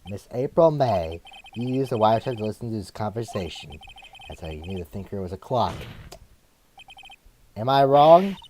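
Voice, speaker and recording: A man's voice: lowish voice, lively intonation, moderate pace, pauses often, darkish tone, a little hiss or hum, natural voice. A man voicing an earnest young male defense lawyer who presses and accuses, in an amateur fan dub. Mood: accusing.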